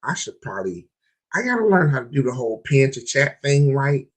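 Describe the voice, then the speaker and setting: A man hosting a live-streamed podcast, his voice hoarse and raspy, opening with loud hype before settling into easygoing, rambling chat. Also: medium pitch, big pitch swings, fairly quick. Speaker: podcast host.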